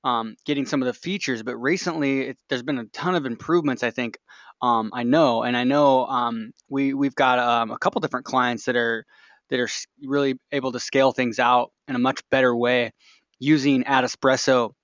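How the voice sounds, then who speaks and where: A man hosting a webinar in a casual, unscripted way, speaking haltingly and often breaking off his sentences. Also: mid-range voice, steady tone, a bit bright, no echo. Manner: hesitant, halting delivery with broken-off sentences. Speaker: webinar host. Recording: dull, lo-fi webinar audio.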